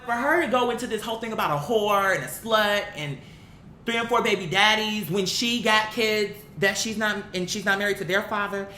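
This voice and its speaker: Bright-voiced, blunt commentary vlogger in an echoey room. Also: mid-range voice, normal speed. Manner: blunt, emphatic, slangy and profane. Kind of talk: casual opinionated commentary, unscripted talk to viewers. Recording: echoey room, bright sound, faint background noise.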